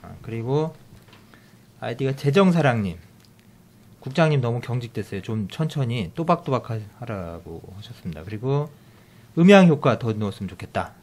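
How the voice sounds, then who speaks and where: A middle-aged man hosting a casual podcast. He talks in a halting way with many fillers and restarts, reading out listener comments and news. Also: medium pitch, expressive, a little mellow. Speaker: podcast host.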